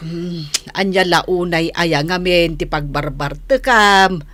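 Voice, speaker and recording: An actress with an Ilocano accent playing a tender, worried mother gently chiding her son in a radio drama. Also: fairly low pitch, slow, dry recording. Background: music bed.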